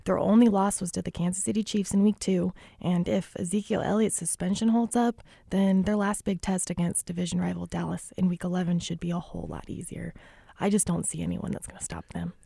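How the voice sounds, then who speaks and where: A woman co-hosting a sports radio show, her voice hoarse and gravelly after losing it, chatting casually and pushing for predictions. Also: fairly low pitch, fairly even intonation, brisk, warm tone, dry recording, studio quality. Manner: chatty, eager to debate picks. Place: radio studio.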